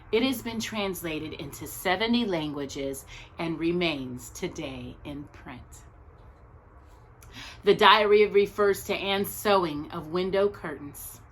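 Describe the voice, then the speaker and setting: A woman reading aloud as a storytime host, speaking earnestly and deliberately to young viewers, phrase by phrase. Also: sits low, a bit bright, slight background noise.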